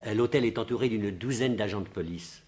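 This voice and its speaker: An actor playing a formal, respectful household servant in a 1950s-style French radio mystery. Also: male voice, fairly low pitch, fairly quick, darkish tone, lightly acted. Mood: respectful, concerned. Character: deferential male household servant of a baron.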